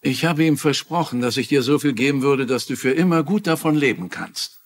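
Man acting earnest farm uncle, medium pitch, plain talking, tv crime dub. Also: lively intonation, a little fast, lightly acted. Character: plain-spoken, earnest male rancher uncle. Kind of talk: German-dubbed TV crime drama dialogue.

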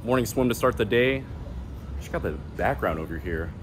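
A man's voice: mid-range voice, expressive, moderate pace. A man in his thirties, a vlog host, talking to camera in a casual, upbeat way while walking around outdoors. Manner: chatty, upbeat, talks with his hands. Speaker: vlogger host. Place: outdoors on a city plaza and rooftop. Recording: outdoor street noise behind. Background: traffic and city ambience.

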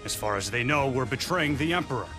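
Man acting blunt mid-pitched warrior. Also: expressive, fast, music in the background, natural voice. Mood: resigned, blunt. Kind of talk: dramatic English video game cutscene acting.

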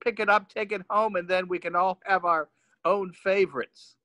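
A man's voice: high voice, fairly even intonation, normal speed, pushed and bright. A man making casual conversation with a group on a video call.

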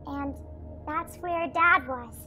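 Woman as a very high-pitched pleading little girl. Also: slow, bright, forceful tone, faint music behind, exaggerated voice. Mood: curious. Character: pleading, curious little daughter at bedtime. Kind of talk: radio play acting.

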